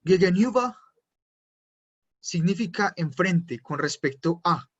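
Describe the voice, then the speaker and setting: A man's voice: high voice, lively intonation, slow pace, slightly rough. A man teaching German in an online class, explaining patiently step by step with frequent fillers. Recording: dull lo-fi online class audio.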